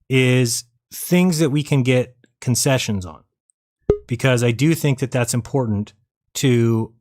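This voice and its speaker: A man hosting a live online show in a casual, rambling and blunt way, thinking aloud as he goes. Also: mid-range voice. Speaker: host of a live online debate and call-in show. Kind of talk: casual, rambling livestream chat, sometimes reading viewer comments.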